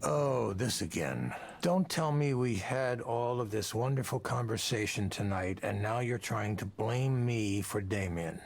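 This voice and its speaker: An actor playing a persuasive, defensive tech project leader in a visual novel, speaking emphatically and theatrically in self-justification. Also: male voice, lowish voice, animated, unhurried, creaky, few pauses, full character voice. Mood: defensive, indignant.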